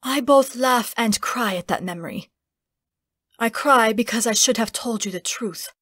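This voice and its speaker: A woman's voice: on the high side, a little slow, a bit bright, no echo. Audiobook father female narrator: sentimental, casual, reminiscing, close dry recording. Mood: wistful. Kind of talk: audiobook character acting.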